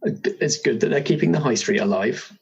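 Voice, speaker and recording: A man's voice: medium pitch, animated, normal speed, creaky, a little mellow, husky, highs cut off. A man hosting as game master, casually asking questions and guiding a player through a character. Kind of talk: casual guided interview about a game character.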